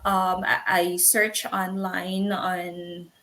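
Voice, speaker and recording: A woman in her thirties from the Philippines, a guest in a casual interview, speaking haltingly with repeated words and frequent laughs. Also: on the low side, level tone, sharp tone. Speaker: guest, business owner.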